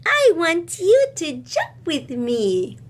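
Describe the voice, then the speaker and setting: Woman as a high singsong cheerful schoolgirl. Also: huge pitch swings, slow pace, slight background noise, heavily acted. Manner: singsong chanting. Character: cheerful bold little schoolgirl singing a jump-rope rhyme.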